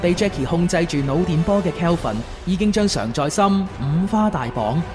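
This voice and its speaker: Man, narrator, high voice, dramatic scripted storytelling, lively intonation, loud music bed. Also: normal speed.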